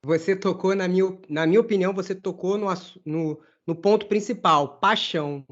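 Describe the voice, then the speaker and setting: A man from Brazil hosting his podcast and teaching Portuguese, friendly and measured as he closes out an interview. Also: high voice. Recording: dull lo-fi sound.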